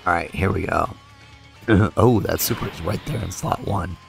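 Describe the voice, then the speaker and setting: A young man with a low, gravelly voice talks through a mobile game as he plays it, thinking aloud in a relaxed way. He is slowly losing his voice from being a little sick, and game music plays faintly behind him. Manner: relaxed, thinks aloud with fillers while playing. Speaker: mobile game video creator. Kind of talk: casual gameplay commentary.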